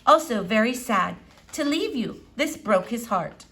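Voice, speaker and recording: A woman's voice: fairly high pitch, expressive, a little slow, fairly bright tone. A woman voicing an elderly, philosophical lady doctor from a children's book, speaking emphatically in quaint, formal, repetitive phrasing. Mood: wistful.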